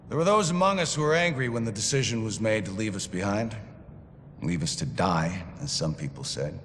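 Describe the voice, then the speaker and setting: Ruthless commander, grave, forceful, lowish, swinging pitch. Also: male voice, in a large hall, slight background noise. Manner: grave, forceful broadcast address. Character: ruthless male battalion commander, zealous. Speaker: military commander.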